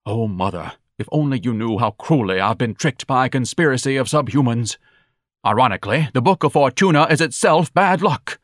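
A man's voice: medium pitch, lively intonation, brisk, no room sound, clean studio sound, pitched above the performer's own voice, close to an everyday voice. A man doing a pompous, melodramatic overgrown son. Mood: outraged, melodramatic. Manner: pompous, florid, haughty declaiming. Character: pompous, self-pitying, melodramatic grown son, grandiose slob. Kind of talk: audiobook character dialogue.

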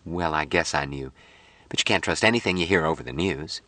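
A man as a soft, solemn, motherly devout lady. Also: a bass voice, a little fast, no room sound. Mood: hesitant, caring. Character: kindly, devout middle-aged tattooed lady, motherly and earnest.

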